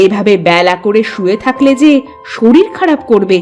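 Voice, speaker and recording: A woman's voice: mid-range voice, expressive, moderate pace, darkish tone, soft background music, close to an everyday voice. A woman voicing a hardworking middle-aged village mother in a cartoon, scolding in a nagging, reproachful way. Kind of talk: animated moral-story cartoon dialogue.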